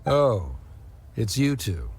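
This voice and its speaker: A man voicing a reserved middle-aged father and scholar in a game, speaking formally and in measured tones. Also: medium pitch, sing-song, vocal fry, slightly rough, full character voice. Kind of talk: game voice acting.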